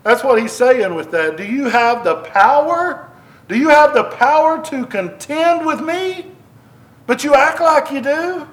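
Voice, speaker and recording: A man's voice: extremely high pitch, animated, a little slow, a bit bright, rough recording. A man preaching a conversational sermon into a microphone, restarting phrases and pressing questions at his listeners. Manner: conversational, repeats and restarts, rising emphasis on questions. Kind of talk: sermon, Bible teaching. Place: church building.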